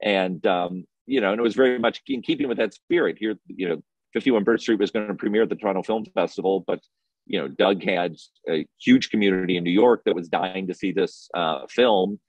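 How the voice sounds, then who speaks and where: A man with a low voice in a dry, close-sounding space, recalling things casually in an interview. He speaks haltingly, often hesitating and restarting his phrases as he goes. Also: husky, dry recording, highs cut off. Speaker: film festival programmer being interviewed.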